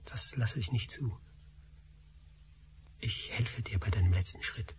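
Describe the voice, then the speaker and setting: A man's voice: lowish voice, unhurried, slight background noise, natural voice. An actor playing a driven male scientist on the run, speaking urgently in a radio drama. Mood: tender, grieving. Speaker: Doctor Spassky.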